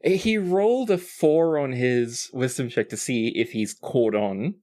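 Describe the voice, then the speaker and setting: Casual game master, mid-pitched voice, sweary. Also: measured pace, warm tone. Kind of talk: narrating a tabletop role-playing game.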